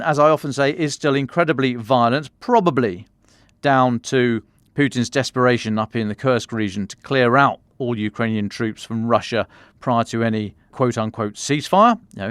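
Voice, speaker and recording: British guy explaining the news on a podcast, mid-range voice, measured but a bit colloquial, dry close sound. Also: medium pitch, no room sound. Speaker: podcast defence commentator. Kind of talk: news analysis briefing.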